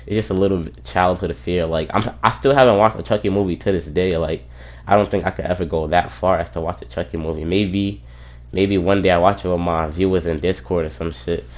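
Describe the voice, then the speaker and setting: A man's voice: low voice, fairly even intonation, fairly quick. A young man streamer telling a casual, rambling story, swearing freely and often stopping to say "what you call it.".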